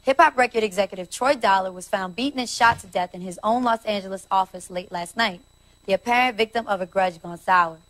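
A woman plays a serious American news anchor in a game cutscene. She reads scripted news in a polished, mid-pitched voice. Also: a bit bright, natural voice, rough recording.

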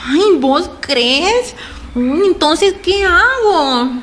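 Dramatic, high-voiced woman. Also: extremely slow, a little hiss or hum, full character voice. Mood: agitated. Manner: expressive, exclamatory. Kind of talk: radio soap opera acting.

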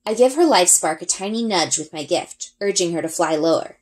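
A woman reading a first-person children's adventure story aloud in an expressive storytelling style. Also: on the low side, fairly quick. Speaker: read-aloud reader, elementary school.

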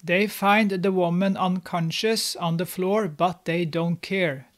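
Higher-voiced man narrating a snarky movie recap. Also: fairly even intonation, takes it slowly. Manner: snarky, mocking commentary. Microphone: studio mic, on camera.

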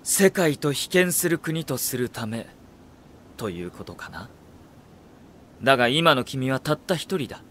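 An actor playing a refined, cerebral young strategist in an audio drama, with elegant, courtly, probing phrasing. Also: male voice, mid-range voice, lively intonation, measured pace, pauses often, a bit bright, slight background noise. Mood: probing, cool. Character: refined, cerebral young male strategist, coolly devoted to his lord. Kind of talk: Japanese audio drama acting.

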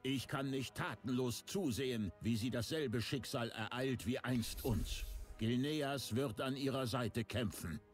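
A man's voice: mid-range voice, measured pace, faint music behind, close to an everyday voice. Ageing monarch for a fantasy game, male actor, grave and formal, unbending, faint score behind. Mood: grave, resolute. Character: proud older king of a fallen, cursed kingdom. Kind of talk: video game voice acting.

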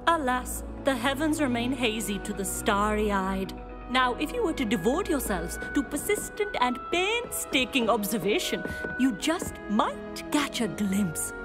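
A woman's voice: a little high, sing-song, unhurried. A middle-aged woman playing a stern, haughty astronomy professor in a video game, lecturing with theatrical precision. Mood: haughty, admonishing. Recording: clear music behind the voice.

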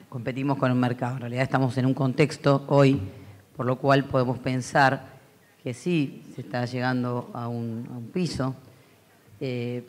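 A man's voice: medium pitch, darkish tone. Argentine man speaking on a panel. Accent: Argentine Spanish. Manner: reflective, anecdotal, many fillers. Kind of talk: conference panel talk. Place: large conference hall stage.

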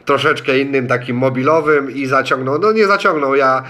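A man's voice: mid-range voice, normal speed, crowd noise. A man in a radio club room, talking on an amateur radio contact in a friendly, hesitant way and spelling call signs. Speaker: ham radio student operator. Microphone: phone recording in the room.

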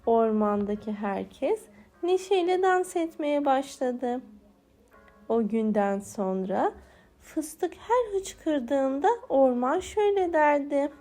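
Female children's storyteller, very high and unhurried, for bedtime tales. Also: expressive, mellow, faint music behind. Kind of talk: scripted bedtime story narration for small children.

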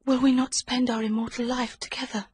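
A man's voice: extremely high pitch, little pitch movement, a little fast, husky, dry recording, pitched above the performer's own voice, full character voice. A man voicing a grieving woman who whispers her pleas to a dying lover. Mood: pleading. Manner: whispering, pleading.

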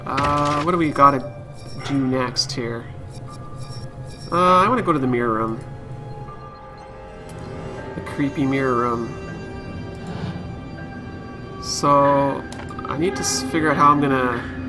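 A man's voice: mid-range voice, slow pace, pauses often. A man giving casual live let's play commentary, musing aloud to himself and his viewers. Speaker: game streamer. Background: game music.

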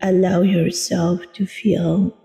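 A woman guiding a meditation in a soothing, deliberate manner, leaving long pauses between short phrases. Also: on the low side, level tone, creaky, dark tone. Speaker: meditation guide, coach. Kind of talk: guided meditation narration. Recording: long reverb with music behind. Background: meditation music.

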